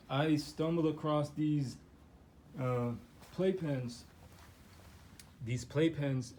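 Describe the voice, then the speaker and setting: A man's voice: mid-range voice, creaky, a little mellow, a little hiss or hum. Man losing his voice, rambling vlog. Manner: rambling, many fillers and restarts. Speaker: guinea pig owner showing his setup. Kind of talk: casual walkthrough vlog. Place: living room at home.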